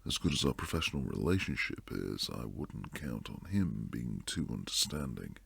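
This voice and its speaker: A man playing a protective, devoted bodyguard and secret lover in an intimate boyfriend roleplay, low and husky, speaking earnestly close to the mic. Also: very animated, normal speed, a bit bright, dry recording, heavily acted. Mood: hesitant, sombre. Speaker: audio roleplay performer. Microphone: close, dry studio mic.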